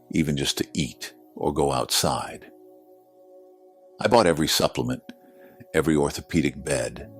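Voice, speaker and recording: Very deep-voiced man reading solemnly. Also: slightly rough. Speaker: presenter reading listener letter. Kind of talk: slow scripted emotional narration. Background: background music.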